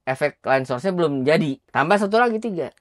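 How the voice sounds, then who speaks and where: A man's voice: fairly high pitch, lively intonation, fast talker, no echo, clean studio sound. A man explaining technical material informally, repeating phrases for emphasis and quizzing his listener as he goes. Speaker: audio engineering teacher.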